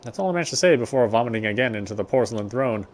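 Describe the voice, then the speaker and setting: A man's voice: mid-range voice, animated, very fast. A man reading first-person visual novel narration aloud as he plays the game. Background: background music.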